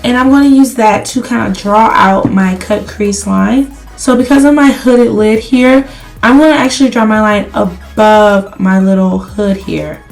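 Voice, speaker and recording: A woman's voice: mid-range voice, steady tone, measured pace, vocal fry, faint music behind. A woman beauty vlogger chatting casually to viewers through a makeup tutorial, her voice slightly crackly as she is losing it while under the weather. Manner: chatty, addresses viewers directly.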